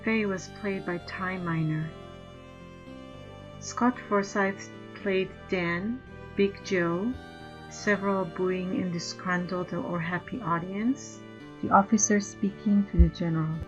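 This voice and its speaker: A woman reads out a list of credits slowly and deliberately. The recording is dull and lo-fi, with music playing clearly behind her. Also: fairly low pitch, steady tone. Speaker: credits reader. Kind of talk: reading credits.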